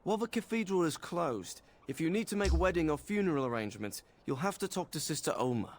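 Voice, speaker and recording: An actor playing a solemn, kindly holy knight guarding a temple in a fantasy game, speaking formally and gravely. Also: male voice, on the high side, animated, fast, a little hiss or hum. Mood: formal, welcoming.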